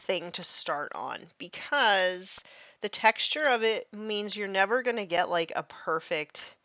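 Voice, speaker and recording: A woman's voice: medium pitch, lively intonation, a little slow, sharp tone, dry recording, lo-fi sound. A young woman chatting casually and self-deprecatingly while painting miniatures on a live stream, full of "like", just getting over losing her voice. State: recovering from lost voice.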